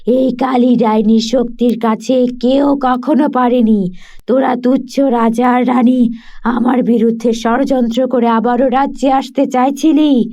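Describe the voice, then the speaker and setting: Cackling gravelly witch. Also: on the high side, warm tone, lo-fi sound. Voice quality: gravelly, slow, gloating. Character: cackling evil witch, boastful and cruel.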